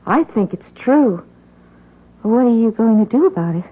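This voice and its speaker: Casting: worried young female companion in radio thriller, highish voice, halting, muffled old recording. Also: lively intonation, husky. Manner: nervous, halting. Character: anxious, loyal young woman companion. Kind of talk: 1970s radio drama acting. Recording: muffled, narrow-band 1970s radio recording.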